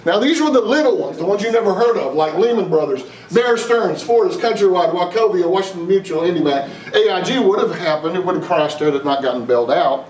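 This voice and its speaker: A man's voice: sits high, lively intonation, brisk, hardly any pauses, darkish tone. A man from East Texas with a Texan accent, giving a live investment seminar and throwing rhetorical questions at the room in a folksy way. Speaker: investment speaker. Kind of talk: live seminar talk to an audience. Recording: roomy, distant, faint noise.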